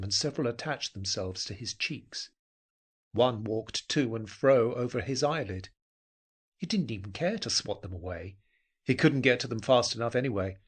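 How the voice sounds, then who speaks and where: A man narrating a scripted audio drama with intense, dramatic, deliberate phrasing. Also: mid-range voice.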